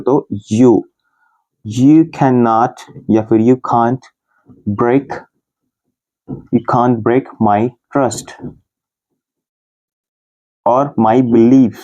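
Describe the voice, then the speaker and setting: A man from India with an Indian accent, teaching English grammar in Hindi and patiently repeating example sentences slowly, word by word. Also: medium pitch, warm tone, highs cut off.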